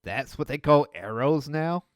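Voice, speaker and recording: A man hosting a video, riffing on cartoon clips with mocking, deadpan sarcasm. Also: fairly high pitch, measured pace, over music. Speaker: video host. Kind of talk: sarcastic riff commentary.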